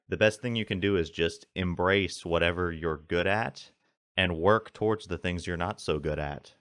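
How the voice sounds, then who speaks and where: A man with a very deep voice shares stories in an easygoing manner. His sound is dry and close to the mic. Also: a bit bright, no room sound. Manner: storytelling, easygoing. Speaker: podcast co-host, voice actor. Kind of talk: casual podcast chat.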